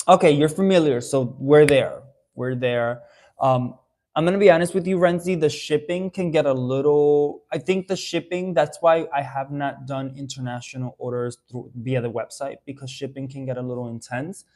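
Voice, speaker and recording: A man's voice: mid-range voice, normal speed, darkish tone. Chatty man hosting a casual live stream. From Dominican Republic. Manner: chatty, reads out viewer comments, many fillers and restarts. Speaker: haircare brand owner answering live viewers.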